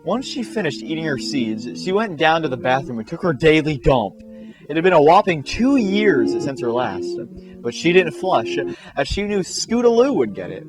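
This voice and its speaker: A man's voice: fairly high pitch, very animated, normal speed, poor audio. A man narrating comic fan fiction in a mock-dramatic way, dropping in casual asides. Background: music.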